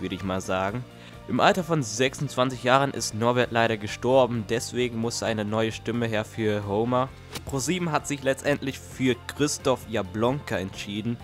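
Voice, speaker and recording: A man's voice: medium pitch, fairly bright tone. A young man hosting a casual, flippant video commentary. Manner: flippant, jokey. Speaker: YouTube host. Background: background music with guitar.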